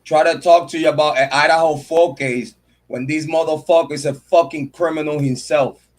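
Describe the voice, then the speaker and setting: A man with a fairly high voice hosting a true crime stream and tearing into a rival. He curses freely and repeats his lines for punch. Between jabs he stops to thank his viewers by name. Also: fairly even intonation, normal speed. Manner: heated, profane and repetitive, calling out a rival. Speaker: true crime channel host. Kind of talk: livestream commentary rant.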